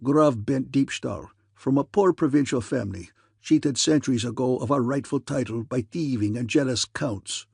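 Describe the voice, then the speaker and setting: A man voicing a brash, boastful con man who poses as a provincial count, gruff and pompous, with a thick invented aristocratic accent. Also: mid-range voice, moderate pace, hardly any pauses, darkish tone, no room sound, clean studio sound. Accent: thick invented foreign aristocratic accent. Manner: gruff, pompous, scowling delivery.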